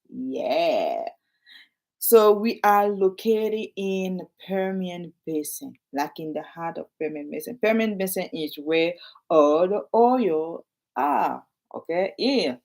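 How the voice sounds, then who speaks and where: A woman with a French accent, a realtor chatting casually to her viewers while reading from her notes and adding asides. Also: mid-range voice.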